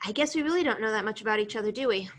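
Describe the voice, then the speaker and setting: A woman's voice: medium pitch, lively intonation, very fast, lightly acted. A woman playing a blunt, guarded young action heroine in a table read over a video call. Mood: dry, conversational.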